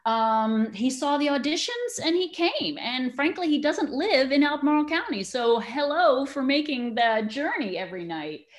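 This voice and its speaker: A woman speaking as an enthusiastic, expressive interview guest, chatting casually about community theater. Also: high-pitched, moderate pace, hardly any pauses. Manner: enthusiastic, expressive big personality.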